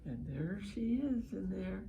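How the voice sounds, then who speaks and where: A woman hosting a children's storybook read-aloud, speaking in an encouraging, expressive way and pausing to ask young listeners questions. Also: mid-range voice, vocal fry, highs cut off, background noise. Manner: encouraging, expressive, lingers on phrases and prompts listeners. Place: at home, online session.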